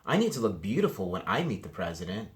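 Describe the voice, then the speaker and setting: A man's voice: fairly low pitch, big pitch swings, fast. A man voicing a bossy, outspoken little girl from a children's storybook, blunt and self-important. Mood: indignant.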